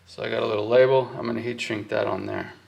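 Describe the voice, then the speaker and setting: A man's voice: fairly low pitch, steady tone, quick pace, vocal fry, a little mellow. A man giving a casual hands-on walkthrough, thinking aloud informally as he works. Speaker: host of a hands-on wiring walkthrough. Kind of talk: casual workshop explanation while working.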